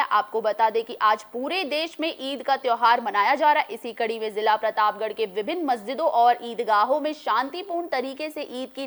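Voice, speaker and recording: A woman's voice: on the high side, a bit bright. A woman news anchor reading scripted bulletin narration in a formal manner.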